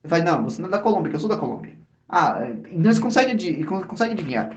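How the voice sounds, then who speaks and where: A man's voice: high-pitched, very animated, very fast, highs cut off. A Brazilian man with a Brazilian Portuguese accent, co-hosting a podcast in casual, slangy chat with many restarts. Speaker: podcast co-host. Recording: echoey room.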